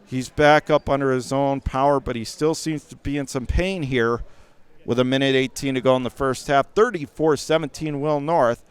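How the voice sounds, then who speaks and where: An American man calling live basketball play-by-play for radio in clipped phrases that rise with the action, from a high school gym. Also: normal speed, clean tone. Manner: rattles off clipped phrases, rising to excited calls on plays. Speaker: play-by-play commentator. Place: indoor high school gymnasium.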